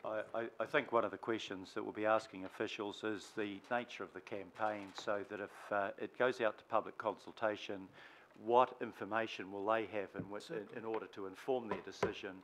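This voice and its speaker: A man's voice: fairly low pitch, fairly even intonation, fairly quick, a bit bright, slight background noise, rough recording. A man chairing a council meeting, speaking hesitantly with stammering restarts. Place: council chamber.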